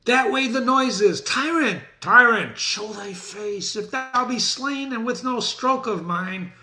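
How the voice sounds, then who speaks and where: A man's voice: very high voice, lively intonation, takes it slowly, sharp tone, roomy sound, voice pushed up, full character voice. A man playing a vengeful, grieving warrior nobleman, calling out challenges in archaic verse.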